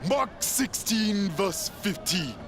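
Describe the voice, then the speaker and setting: Fervent, high-voiced preacher, swooping pitch. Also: male voice, lively intonation, takes it slowly, a bit bright, faint music behind, exaggerated voice. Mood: grateful, solemn. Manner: theatrical, sermonizing, quoting scripture. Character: zealous preacher man, guilt-ridden, grandiose, fervent. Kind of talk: video game cutscene acting.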